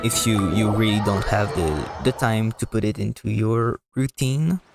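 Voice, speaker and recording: A man giving casual, halting and rambling live commentary as a video game streamer, often repeating words. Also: fairly low pitch, vocal fry, over music.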